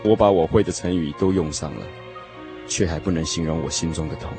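An actor playing a lovesick young man, telling his lost first love in an expressive, emotional radio drama style. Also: low voice, a little fast. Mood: wistful. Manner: expressive, sighing, emotional storytelling. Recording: music playing behind the voice. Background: music.

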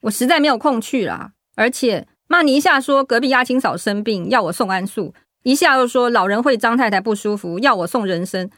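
A woman playing a resentful, sharp-tongued daughter-in-law in a radio drama, complaining and argumentative. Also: fairly high pitch, a little fast, hardly any pauses, no echo, clean studio sound, lightly acted. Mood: exasperated complaining.

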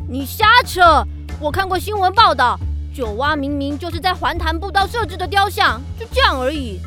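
Very high-voiced, feisty little girl. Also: moderate pace, heavily acted. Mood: sceptical. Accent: Taiwanese Mandarin. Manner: blunt, expressive. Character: feisty, sceptical young schoolgirl. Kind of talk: children's radio drama acting. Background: music.

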